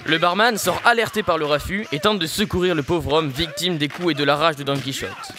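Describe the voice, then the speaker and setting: A man's voice: on the high side, fairly quick, background noise. A teenage man narrating a scripted audio drama in a storytelling style. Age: teens.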